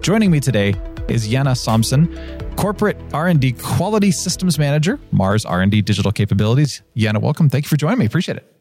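A man's voice: mid-range voice, very animated, fast, darkish tone, soft background music. A man hosting a podcast interview, chatty and enthusiastic. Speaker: podcast host.

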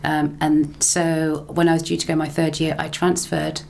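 A woman's voice: low-pitched, flat delivery, normal speed, creaky, darkish tone, slight background noise, low-quality recording. A middle-aged woman, casually telling her story in an interview, chatty and laughing easily. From England. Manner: chatty, laughs often, repeats phrases. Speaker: interview guest, broadcaster and lecturer.

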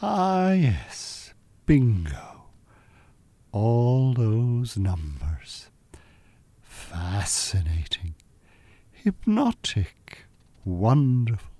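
An actor playing a smooth male Irish con-artist hypnotist who poses as a professor, formal and hesitant, in an audio drama. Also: mid-range voice, wildly swooping pitch, drawn-out words, pauses often, dark tone, no echo, heavily acted. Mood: hushed, entranced. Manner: hesitant, formal, well-spoken.

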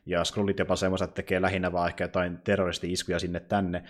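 A man co-hosting a podcast, talking casually about films and pausing to pick his words. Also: low-pitched, level tone. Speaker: podcast co-host. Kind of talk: casual film discussion and plot recap.

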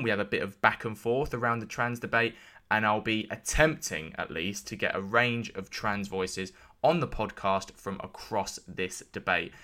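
A man speaks as a podcast host with a fairly low pitch. His voice is bright and forward, and his wording is informal, suited to introducing and interviewing guests. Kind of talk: scripted intro and casual interview.

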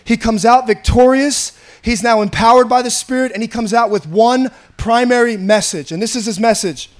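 A pastor with a raspy, slightly hoarse voice, preaching an earnest, conversational sermon and often repeating himself. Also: male voice, very high-pitched, moderate pace.

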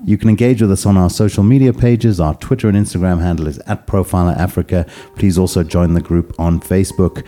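A man hosting a podcast interview, talking haltingly and searching for words, then closing the show. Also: sits low, steady tone, warm tone. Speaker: podcast host.

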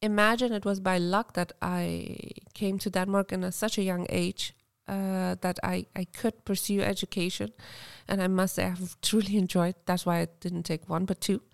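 A woman from Afghanistan co-hosting a podcast, speaking softly and reflectively with halting restarts. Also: mid-range voice, little pitch movement, creaky, clean tone, no room sound, clean studio sound. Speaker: podcast co-host. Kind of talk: casual podcast conversation.

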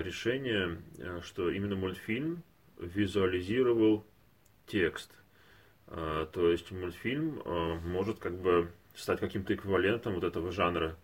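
Male panelist, very low register, analytical and intellectual, measured delivery with halting phrasing. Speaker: podcast co-host or panelist. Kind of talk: unscripted analytical discussion.

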